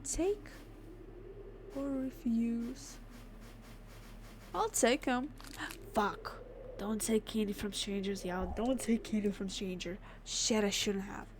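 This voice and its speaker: A woman doing a let's play, reading game text aloud and adding expressive, informal reactions. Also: mid-range voice, slight background noise. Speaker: gamer, let's player. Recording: clear game music behind the voice. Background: game music.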